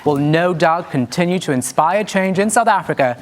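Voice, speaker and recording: A man's voice: fairly high pitch, lively intonation, moderate pace. A man presenting broadcast news as an anchor and reporter, in a scripted delivery.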